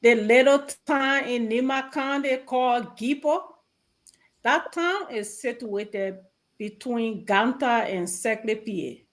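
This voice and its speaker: Slow, deliberate Liberian woman. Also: a little high. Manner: measured, deliberate, halting phrases. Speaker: author, interview guest.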